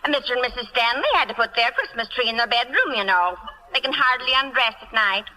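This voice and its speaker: An actress playing a chatty, easily impressed household servant with a folksy, eager manner in a 1940s radio comedy. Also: female voice, a little high, animated, fast, background noise, natural voice. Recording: reverberant vintage radio broadcast.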